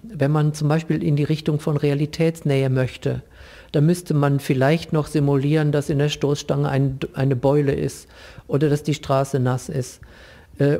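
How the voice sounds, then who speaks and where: A male professor gives interview answers in long, careful sentences. His voice is creaky and soft-edged, pitched a little high for a man.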